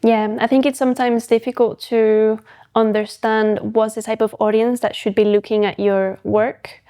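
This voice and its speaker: Mexican woman, young adult, mellow warm tone, thoughtful interview guest. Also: a little high, little pitch movement, moderate pace, clean tone. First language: Spanish. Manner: thoughtful, with frequent restarts. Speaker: podcast guest, photographic artist. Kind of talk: casual interview chat.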